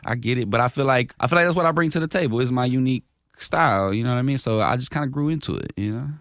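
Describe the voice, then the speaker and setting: A man, a rapper, chatting casually in an interview, animated and full of slang, with a higher-range voice. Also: rapid-fire, no room sound, studio quality. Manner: animated, slangy, repeats himself. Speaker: rapper guest.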